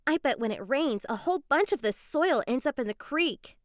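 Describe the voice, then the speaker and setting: Animated woman reading a children's story. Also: high voice, normal speed, dry recording, clean studio sound. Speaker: children's book reader. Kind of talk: dramatized read-aloud of a children's educational story.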